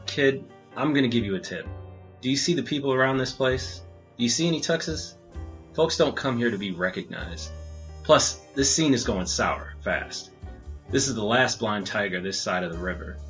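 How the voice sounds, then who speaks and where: A man's voice: mid-range voice, moderate pace, close to an everyday voice. A man playing a terse, wisecracking loner detective at a bar in a hardboiled comedy audio drama. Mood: wry, weary. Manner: deadpan, terse. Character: jaded, wisecracking male loner detective drinking at speakeasy. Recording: music bed under the voice.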